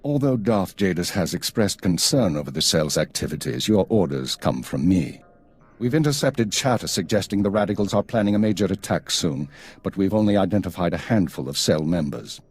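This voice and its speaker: Gravel-low stern spymaster. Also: male voice, lively intonation, a little mellow. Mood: measured, stern. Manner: clipped, formal, measured. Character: stern, weary male intelligence chief. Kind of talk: video game cutscene acting.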